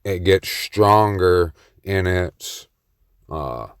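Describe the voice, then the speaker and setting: A man's voice: sits low, extremely slow, smooth, no room sound, studio quality. A man speaking alone on the mic in a rambling monologue, boastful and playfully cocky, with a meandering delivery. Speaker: solo vlogger on the mic. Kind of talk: rambling self-recorded monologue.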